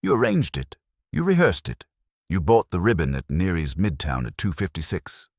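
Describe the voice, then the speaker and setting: A man's voice: low voice, huge pitch swings, moderate pace, dry recording, studio quality, exaggerated voice. A man voicing an imperious, exacting master detective in an audiobook, formal, pedantic and coldly accusing.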